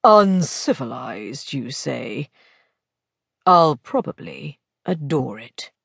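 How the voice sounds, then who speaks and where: A woman voicing a wry, urbane gentleman lord in an audiobook, droll and deliberate in manner. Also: very deep voice, very animated, creaky, husky, no echo, clean studio sound, pitched below the performer's own voice, heavily acted. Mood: amused musing.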